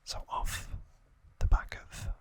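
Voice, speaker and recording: A man whispering an ASMR recap as host, in short, deliberate phrases with pauses between them.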